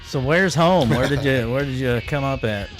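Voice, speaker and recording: A man's voice: medium pitch, moderate pace, faint music behind. A man hosting a podcast, asking casual questions and joking around. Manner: laid-back, joking interjections. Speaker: podcast host. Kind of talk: casual chat.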